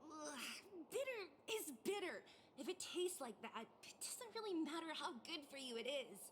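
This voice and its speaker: A blunt, high-pitched young woman. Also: very animated, normal speed, a little hiss or hum. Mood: disgusted. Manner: plain-spoken, informal. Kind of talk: video game dialogue acting.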